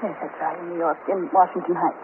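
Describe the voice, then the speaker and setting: A woman's voice: on the low side, little pitch movement, fast talker, natural voice. An actress playing a weary young woman separated from her husband, in a plaintive, complaining manner, in a 1950s radio drama. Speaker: witness. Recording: tinny lo-fi old radio broadcast with hiss.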